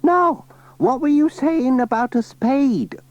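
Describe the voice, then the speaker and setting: A man's voice: extremely high pitch, slow, no room sound, pitched above the performer's own voice. A man voicing a kindly, bossy, muddled old granny who is hard of hearing, in a children's storybook. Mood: fussy, kindly. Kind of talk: children's storybook cassette reading. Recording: slightly dull cassette, faint music.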